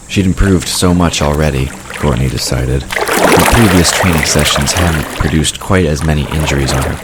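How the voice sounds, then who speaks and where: A man reading scripted narration for a fantasy audio drama in a measured storytelling manner. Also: very deep voice, noisy background.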